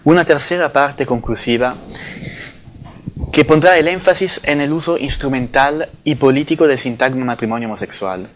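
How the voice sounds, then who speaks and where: A man from Italy with an Italian accent, whose first language is Italian, giving a formal academic lecture in Spanish that he reads from notes in a measured way with the odd self-correction. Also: medium pitch, slight background noise. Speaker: conference speaker.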